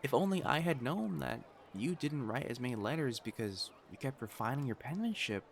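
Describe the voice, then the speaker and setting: A devoted young matchmaker played by a male actor speaks to his betrothed in an intimate romance roleplay. His pitch moves in lively swings, and his prim, formal phrasing gives way easily to flustered earnestness. Faint night ambience with crickets sits behind him. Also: normal speed, vocal fry, slight background noise. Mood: earnest, tender.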